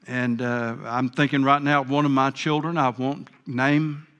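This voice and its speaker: A man's voice: medium pitch, flat delivery, moderate pace, a bit bright, clear, resonant tone. An older man preaching a sermon, earnest and folksy, with a big voice. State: a bit hard of hearing. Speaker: pastor.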